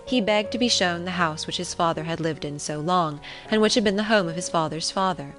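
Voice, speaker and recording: Female audiobook narrator, slightly low pitch, measured, formal, scripted classic-novel reading, background music. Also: a bit bright. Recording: relaxing music behind the voice.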